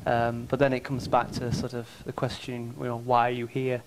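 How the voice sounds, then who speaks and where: A man's voice: medium pitch, monotone, moderate pace. A man answering a question about church thoughtfully, with many "you know" fillers. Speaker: churchgoer guest. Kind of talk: reflective casual answer. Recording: noisy background.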